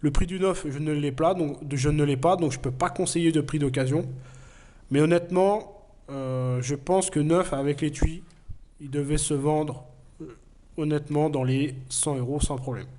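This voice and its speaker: Man, has a cold, mellow tone, knife review, earnest, hesitant. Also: a little high, steady tone, normal speed. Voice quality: hesitant, many fillers. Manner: plain, earnest explaining. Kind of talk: casual product review.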